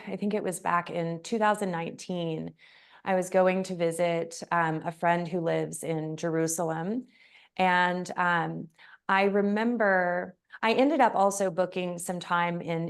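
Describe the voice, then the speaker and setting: A woman hosting an interview, sharing a personal story in a gentle, halting way with frequent pauses. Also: fairly low pitch, fairly even intonation, gravelly, clear, resonant tone. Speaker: podcast host.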